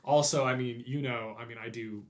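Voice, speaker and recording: A man's voice: medium pitch, fairly even intonation, a little fast. A man hosting a podcast as an informal chat, speaking in a hesitant, self-deprecating, conversational way. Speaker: podcast host. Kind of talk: casual chat.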